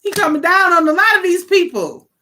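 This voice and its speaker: A woman's voice: extremely high pitch, big pitch swings, fairly quick, pushed and bright. Emphatic American woman reading tarot. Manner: emphatic, slangy, conversational, swears casually. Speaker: tarot reader, online channel host. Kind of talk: informal improvised tarot reading.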